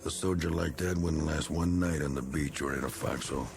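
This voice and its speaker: An actor playing a needling, bitter middle-aged American war veteran with folksy, slangy talk in a vintage TV drama. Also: male voice, a bass voice, fairly even intonation, fairly quick. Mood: menacing. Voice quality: gravelly. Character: needling, bitter male war veteran, rough working man. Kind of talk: 1960s television drama acting.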